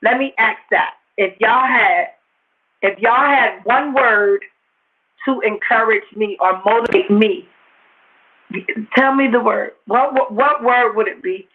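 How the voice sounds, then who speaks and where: A woman hosting an informal motivational livestream, slightly hoarse and raspy, speaking emphatically and repeating phrases to drive her points home. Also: a little high, slow. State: a little hoarse. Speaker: host of a motivational talk show. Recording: tinny, phone-like narrow-band livestream audio.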